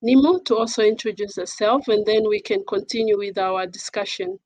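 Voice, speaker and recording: A woman speaking as an advocate on a panel in a formal, measured and somewhat hesitant manner. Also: mid-range voice, a little mellow, no room sound. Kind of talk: panel talk introducing herself and discussing.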